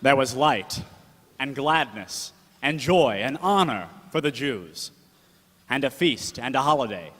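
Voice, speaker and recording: A man's voice: medium pitch, lively intonation, measured pace, a bit bright, a little hiss or hum. A man playing a gangster's-tale narrator in the style of old 1930s radio drama. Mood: earnest. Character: Mordecai, gangster-tale narrator, loyal uncle turned second in command. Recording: echoey hall, long reverb.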